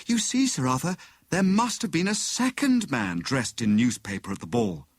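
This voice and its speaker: A man voicing a clever, excitable married woman who plays amateur detective, with a brisk, knowing manner. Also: on the high side, breathy edge, dry recording, clean studio sound, pitched above the performer's own voice.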